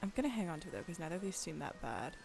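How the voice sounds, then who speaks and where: A woman's voice: lowish voice, big pitch swings, rapid-fire, creaky, a little mellow, faint music behind. A woman hosting a casual game stream, informal and sweary as she thinks aloud while playing.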